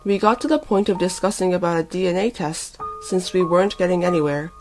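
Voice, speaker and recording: A woman's voice: fairly low pitch, fairly quick, darkish tone, faint music behind, lightly acted, rough recording. A woman voicing an earnest wronged wife and mother in a story, firm and controlled. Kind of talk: dramatised story reading.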